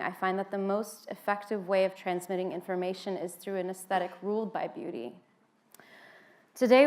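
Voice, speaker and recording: Conversational American woman speaker. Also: on the low side. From United States (Washington, D.C.). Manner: conversational, with frequent "um" fillers. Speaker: speaker at a public talk. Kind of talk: informal seated talk to an audience.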